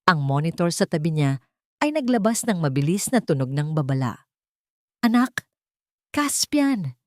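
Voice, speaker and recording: A woman's voice: sits low, big pitch swings, brisk, mellow. A woman reading a scripted dramatic story as its narrator.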